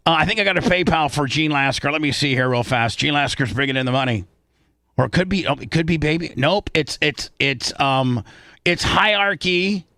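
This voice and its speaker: Male radio host, casual banter. Higher-pitched, bright, forceful delivery in a dry room. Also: normal speed, no room sound.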